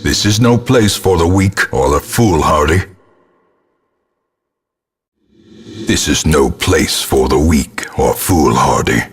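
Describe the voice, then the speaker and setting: A husky, very deep, brooding ancestor. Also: male voice, animated, faint music behind, heavily acted. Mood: bleak, foreboding. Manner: portentous, measured, ornate diction. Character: grim, brooding old male ancestor, ominous gothic narrator. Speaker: game narrator. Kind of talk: theatrical scripted gothic game narration.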